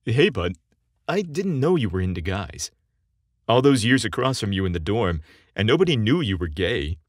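Man playing a deep-voiced easygoing frat bro. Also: big pitch swings, normal speed, no room sound, studio quality. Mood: amused, teasing. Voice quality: deep, sexy tone. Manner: casual bro slang. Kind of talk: audio story character dialogue.

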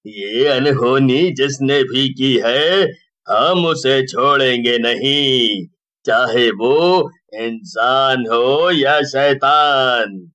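A man acts out a stern old cartoon village headman. He is resolute and emphatic, with a bright, forceful voice and very slow delivery. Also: mid-range voice. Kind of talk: cartoon horror story dialogue.

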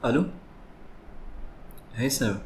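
A young man in an office ASMR roleplay, talking in a measured, business-like way. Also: medium pitch, animated. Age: young adult. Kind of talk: office ASMR roleplay, murmuring and phone talk. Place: small high-rise office, indoors. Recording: roomy office, loud keyboard clicks and noise. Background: typing and clicks over heavy noise.

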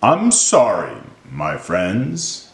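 Give this storybook elephant a man's performance with swooping pitch and an unhurried pace. He is big, gentle and apologetic, easily tipped into a flap, in a roomy, echoing recording. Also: sing-song. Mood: sad, apologetic. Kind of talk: rhyming children's storybook character.

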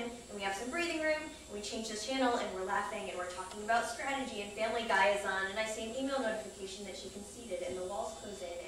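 A woman's voice: fairly high pitch, fairly bright tone. A woman reading a scripted personal account aloud on a small theater stage. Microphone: far camera microphone. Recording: echoey, noisy, distant stage recording.

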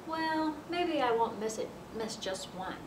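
A woman's voice: animated, measured pace. A woman voicing a proud, vain, easily upset male fish in a storybook, with dramatic delivery, recorded in a room. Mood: wavering. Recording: roomy, distant mic with noisy rumble.

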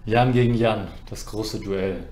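A man's voice: lowish voice, fairly even intonation, a little slow, a little mellow. Guy hosting a chess stream, easygoing chat, kinda teasing, roomy echo on the voice. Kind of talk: casual livestream chat while playing chess. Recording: roomy, echoing sound.

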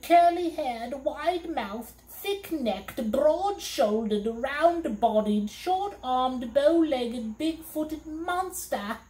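Woman doing a squeaky little demon. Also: a little mellow, roomy sound, full character voice, poor audio. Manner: squeaky and gleeful. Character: small furry male demon, sly fibber then sobbing.

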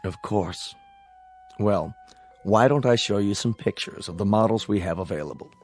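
An actor playing a courteous, persuasive corporate salesman in a radio drama, with a polished, flattering manner. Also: lowish voice, normal speed, soft background music, lightly acted. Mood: reassuring.

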